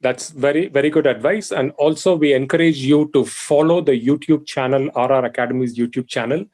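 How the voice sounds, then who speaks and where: Male host wrapping up an interview, smooth and appreciative, mid pitch. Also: a little fast, a little mellow. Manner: polished, appreciative summarizing.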